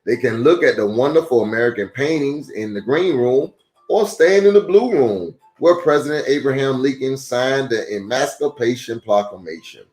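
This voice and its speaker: A man's voice: mid-range voice, moderate pace, darkish tone, soft background music. Man reading a storybook aloud in echoey room. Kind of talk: read-aloud storytime with casual asides. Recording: echoey, roomy sound.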